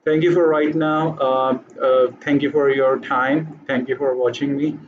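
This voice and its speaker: A man's voice: mid-range voice, little pitch movement, unhurried, dull audio, slight background noise. A man with an Urdu accent, a native Urdu speaker, giving a hesitant motivational talk to camera, full of fillers and restarts. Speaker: vlogger.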